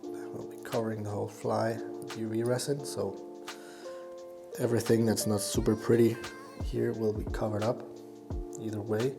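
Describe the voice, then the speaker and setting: A man with a Danish accent giving a casual hands-on tutorial, haltingly thinking aloud as he works. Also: on the low side, little pitch movement, vocal fry, over music. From Denmark. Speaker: fly tying instructor and YouTuber.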